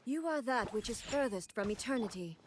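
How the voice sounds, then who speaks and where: A woman's voice: on the high side, expressive, brisk, slight background noise, close to an everyday voice. A woman voicing a cold, imperious godlike ruler in a video game, solemn, commanding and detached. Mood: cold menace. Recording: loud music under the voice.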